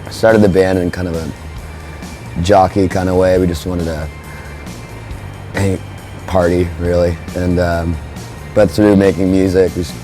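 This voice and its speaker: A young man, a band's frontman, talking in a relaxed, rambling way with lots of fillers. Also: deep voice, unhurried, pauses often, soft background music. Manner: laid-back, rambling, with frequent fillers. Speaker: band frontman. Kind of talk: relaxed interview talk.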